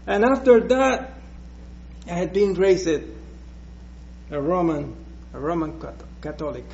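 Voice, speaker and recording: Slow, hesitant Puerto Rican preacher. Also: male voice, sits high, very animated, creaky, darkish tone, highs cut off, slight background noise. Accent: Puerto Rican Spanish. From Puerto Rico. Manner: slow, hesitant, with many restarts. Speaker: guest preacher. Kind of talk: personal testimony in church.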